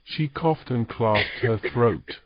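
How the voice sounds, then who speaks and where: A man's voice: mid-range voice, lively intonation, takes it slowly, muffled recording, a little hiss or hum. A man narrating a scripted fantasy story in a dramatic storytelling style, close on the microphone.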